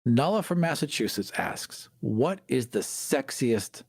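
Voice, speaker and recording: A man co-hosting a podcast, chatting casually in a candid, emphatic, animated way. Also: mid-range voice, unhurried, vocal fry. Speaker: podcast host. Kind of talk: casual chat.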